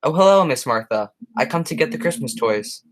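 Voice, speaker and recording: A young man acting a friendly, plain-spoken policeman in a high school radio play, folksy and polite. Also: animated, fairly quick, lightly acted.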